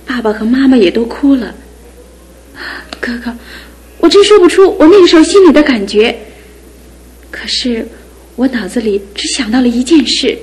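An actress playing a lively young woman, the groom's younger sister, speaking in a bright, teasing way. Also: high-pitched, rough recording. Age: young adult. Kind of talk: 1970s radio drama acting.

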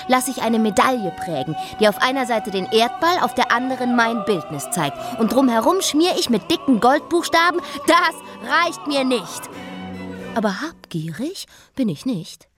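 A woman in a radio drama playing a defiant, wilful abdicated queen, speaking in deliberate, declarative phrases. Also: on the high side, very animated, music in the background. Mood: proud, defiant.

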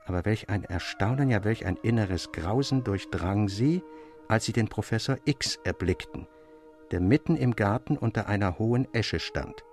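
Deep-voiced male storyteller over faint music. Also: animated, normal speed, a little mellow. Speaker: audiobook narrator. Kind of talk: literary storytelling, scripted narration.

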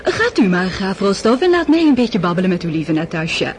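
An actress as a scheming society countess. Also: female voice, medium pitch, a little fast, a little hiss or hum, close to an everyday voice. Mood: coaxing. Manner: honeyed, flattering. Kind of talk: radio play acting.

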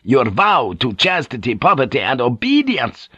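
A man's voice: mid-range voice, wildly swooping pitch, normal speed, sharp tone, slightly rough, no echo, muffled recording, exaggerated voice. Proud, defiant young brother voiced by a man. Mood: indignant. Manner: polite but bluntly insistent, impassioned. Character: proud, defiant young Japanese Jesuit brother of samurai birth. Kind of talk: dramatic audiobook character acting.

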